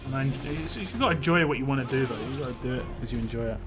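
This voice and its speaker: A man's voice: mid-range voice, animated, rapid-fire. A man chatting casually on a live stream while he plays a game, rambling and answering chat. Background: game music.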